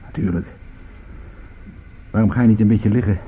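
An actor playing a wry private detective in a radio play, speaking and narrating in a dry, understated way. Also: male voice, lowish voice, normal speed, a little hiss or hum, natural voice. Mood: dry, resigned. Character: wry male private detective, calm under mortal danger. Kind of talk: radio play acting with first-person narration. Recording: muffled, phone-like radio drama recording.

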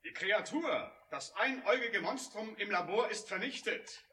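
Intense, animated male scientist. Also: sits high, normal speed, slightly rough, exaggerated voice. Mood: accusing. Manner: emphatic, volatile, pointed. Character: intense, embittered male computer scientist, moral, defiant, sardonic. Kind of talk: 1980 German radio drama acting.

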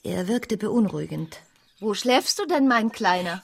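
An actress in a radio drama playing a sensible, educated woman, husky, measured and earnest as she speaks and recounts. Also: mid-range voice, expressive, full character voice. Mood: troubled. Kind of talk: radio drama acting and first-person narration.

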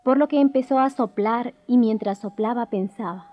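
A woman's voice: a little high, moderate pace, muffled recording. A woman giving a scripted storytelling narration of a traditional legend as the narrator of a radio drama. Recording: faint background noise.

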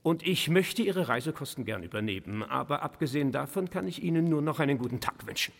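An actor playing a haughty English lord in a radio play, formally polite and condescending. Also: male voice, a little high, animated, fast, creaky. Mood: affronted, dismissive. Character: haughty, indignant English lord, stiffly courteous. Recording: long reverberant hall echo.

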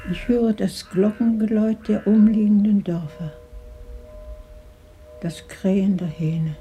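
A woman playing a solemn reciter in a literary radio play, with deliberate phrasing. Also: medium pitch, fairly even intonation, warm tone, muffled recording, soft background music, natural voice. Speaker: secondary narrator and reader.

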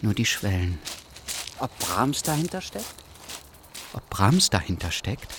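An actor playing a sceptical, grumbling stranded train traveller in a radio play, dry and muttering. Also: male voice, on the low side, very animated, measured pace. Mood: puzzled, grumbling. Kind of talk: German radio play dialogue and monologue. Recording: clearly noisy background.

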